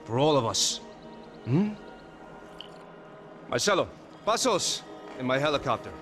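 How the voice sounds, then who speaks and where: An actor playing the composed, reassuring brother in a rich Brazilian family, in a cinematic video game. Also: male voice, mid-range voice, very animated, measured pace. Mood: consoling. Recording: music behind the voice.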